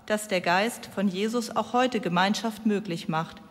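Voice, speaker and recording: A woman's voice: mid-range voice, a little slow. A woman from northern Germany giving an earnest, heartfelt testimony during a church service. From Lübeck, northern Germany. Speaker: member of an ecumenical working group. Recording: long church reverb.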